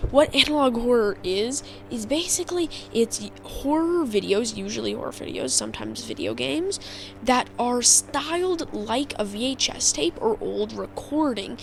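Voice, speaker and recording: A woman narrating a casual video explainer in a halting, rambling, conversational way. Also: fairly high pitch, a little hiss or hum, poor audio.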